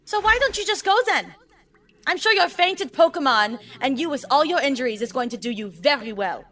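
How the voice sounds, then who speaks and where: A woman's voice: sits high, lively intonation, brisk, a bit bright, recorded from a distance, voice pushed down. A woman playing a stubborn, indignant eighteen-year-old girl adventurer in a tabletop game, arguing sarcastically. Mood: hurt, defiant. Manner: argumentative, sarcastic.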